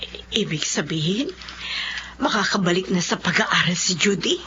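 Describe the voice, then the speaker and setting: Poor middle-aged mother, plaintive, sharp bright tone, Filipino radio drama, faintly noisy lo-fi sound. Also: lowish voice, animated, normal speed. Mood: hopeful, excited. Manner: emotional, plaintive. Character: worried, apologetic mother of a poor family.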